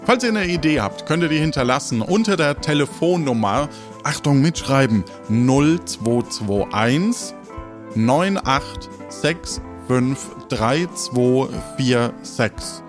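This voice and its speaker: A man's voice: mid-range voice, lively intonation, slow pace. A man hosting an improvised audio adventure podcast as game master, talking casually to players and listeners. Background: light music with guitar.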